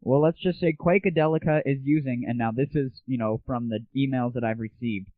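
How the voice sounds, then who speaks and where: A man's voice: mid-range voice, a little fast, dry recording. Lo-fi guy, irreverent gaming show host. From United States. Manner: irreverent, slangy, opinionated. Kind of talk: casual solo news commentary. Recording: muffled phone-like lo-fi audio.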